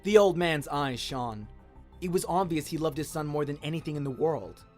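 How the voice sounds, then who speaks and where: A man's voice: on the high side, normal speed. A man narrating a scripted story dramatically, in the manner of an audio drama. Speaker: narrator, voice actor. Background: music and sound effects.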